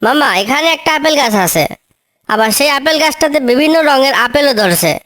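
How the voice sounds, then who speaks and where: A woman's voice: high voice, lively intonation, brisk, fairly bright tone. A woman voicing a small, clever female bird in a children's cartoon, with a coaxing, pleading manner. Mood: innocent, curious. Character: small clever female tailorbird, helpful, wheedling niece. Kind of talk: children's cartoon story dialogue.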